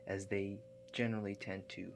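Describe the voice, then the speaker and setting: A man doing a softly spoken ASMR roleplay as a calm relaxation agent, hushed and halting, with gentle check-in questions. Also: fairly low pitch, noisy background. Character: calm, awkward house-call relaxation agent. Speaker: ASMR performer.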